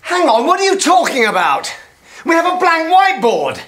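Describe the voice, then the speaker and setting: Defensive male writer, swooping pitch. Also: huge pitch swings, normal speed, fairly bright tone, slight background noise, heavily acted. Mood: indignant. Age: middle-aged. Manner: indignant, repetitive. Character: defensive, flustered middle-aged male scriptwriter. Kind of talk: comedy soap acting.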